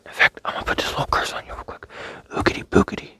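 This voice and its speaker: A man's voice: rapid-fire, sharp tone. A young man rambling playfully in a close-miked ASMR whisper, with many fillers. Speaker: ASMR creator.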